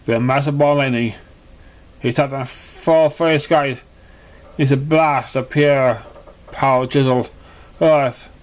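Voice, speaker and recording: Slow, halting male story narrator. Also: mid-range voice, steady tone. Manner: halting, stumbles over words. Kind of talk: amateur reading of fan fiction narration.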